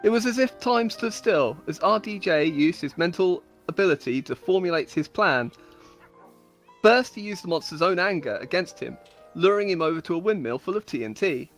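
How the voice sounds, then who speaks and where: A woman's voice: on the low side, expressive, normal speed, faint music behind. A woman storyteller giving a dramatic, mock-epic reading of comic fantasy stories, with amused asides. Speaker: storyteller on a game server.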